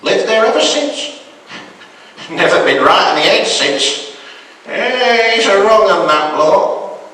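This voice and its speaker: A man's voice: sits high, animated, slow, pushed and bright, voice pushed up, full character voice. A man voicing a gossipy, amused old Yorkshire granddad with a Northern English accent, rambling and chuckling as he tells tales. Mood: animated, scornful. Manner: rambling, chuckling, colloquial storytelling. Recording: echoey hall, distant microphone.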